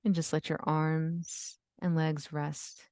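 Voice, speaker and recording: A woman leading a guided yoga nidra relaxation, giving gentle, soothing instructions with long pauses. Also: low-pitched, flat delivery, smooth, no echo, studio quality. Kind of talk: guided meditation.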